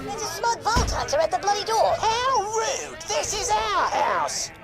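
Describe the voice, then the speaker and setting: A performer voicing a shrill, indignant little game bandit. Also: big pitch swings, a little slow, loud music behind the voice, a loud crowd, exaggerated voice.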